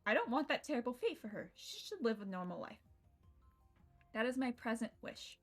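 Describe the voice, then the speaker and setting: A woman's voice: on the high side, sing-song, normal speed, a bit bright, faint music behind, heavily acted. A woman reading out a pompous young mad scientist. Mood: wistful. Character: grandiose self-styled mad scientist, young man. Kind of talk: reading visual novel lines aloud.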